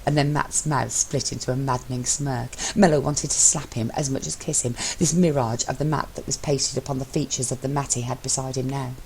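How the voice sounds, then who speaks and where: A woman's voice: rumbling low, fairly even intonation, a little fast, hardly any pauses, darkish tone, a little hiss or hum, poor audio. A woman reading fiction aloud as an amateur narrator. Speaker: amateur fan fiction reader. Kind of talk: scripted story narration.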